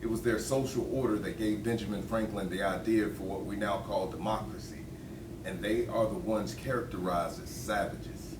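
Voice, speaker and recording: Man reading as emphatic man debating history, low-pitched, echoey. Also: steady tone, normal speed, lightly acted. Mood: indignant. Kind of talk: script table read of docudrama dialogue. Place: room at a table read. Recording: roomy, distant mic, noisy background.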